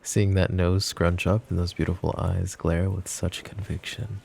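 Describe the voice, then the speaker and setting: Man acting a very deep-voiced, gravelly mafia boss. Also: little pitch movement, unhurried, a little mellow, slight background noise, exaggerated voice. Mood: teasing, flirty. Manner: smooth-talking, teasing, pet names, sighs. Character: flirty, possessive mafia boss, teasing yet protective. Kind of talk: roleplay ASMR acting.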